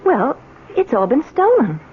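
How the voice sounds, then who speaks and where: Brittle, proper society wife, animated pitch. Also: female voice, unhurried, a little hiss or hum. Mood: flustered, apologetic. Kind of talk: 1970s radio drama acting. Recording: dull lo-fi 1970s radio broadcast.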